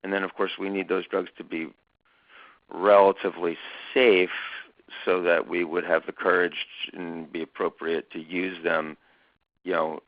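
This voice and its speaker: A man hosting a conversation as foundation chairman, speaking in a measured, hesitant way with many fillers. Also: low-pitched, no room sound. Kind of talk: conversational webinar talk. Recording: dull lo-fi dry recording.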